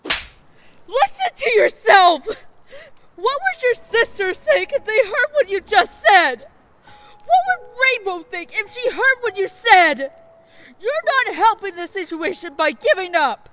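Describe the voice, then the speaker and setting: A woman's voice: very high voice, big pitch swings, slow, a bit bright, slight background noise, full character voice. A woman voicing an emotional young queen who pleads and hopes, in a fan audio drama. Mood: pleading.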